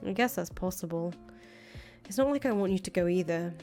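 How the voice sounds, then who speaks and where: A woman's voice: fairly low pitch, lively intonation, brisk, a little mellow, natural voice. A woman voicing a brash, blunt, foul-mouthed revolutionary leader in a visual novel, talking casually. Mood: pleading, frank. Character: brash, foul-mouthed revolutionary leader, volatile but attached to her friend. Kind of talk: visual novel dialogue reading. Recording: music behind the voice. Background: music.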